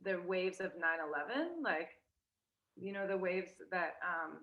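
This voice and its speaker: A woman's voice: fairly low pitch, animated, unhurried, muffled recording. A young woman, a guest in a casual interview, talking hesitantly with many fillers and restarts. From United States.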